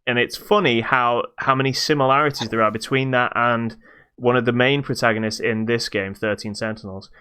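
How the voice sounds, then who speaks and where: A man co-hosting a podcast, reviewing games in casual chat with halting explanations that trail into dismissive asides. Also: medium pitch, fairly even intonation, sharp tone, clear, resonant tone. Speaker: podcast co-host.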